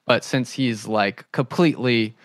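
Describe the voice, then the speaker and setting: A man with an average-pitched voice hosts an interview casually. He explains things in loose, informal phrasing, and the recording is dry. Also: a little slow, no echo. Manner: informal, frequent use of "like" as he explains. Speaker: podcast host, interviewer.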